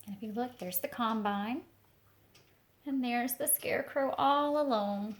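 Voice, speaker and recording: A woman reading a picture book aloud to young children, expressive and speaking to them directly with teacher-like questions and asides. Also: a little high, a little slow, slight background noise. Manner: expressive storytelling, talks directly to young listeners.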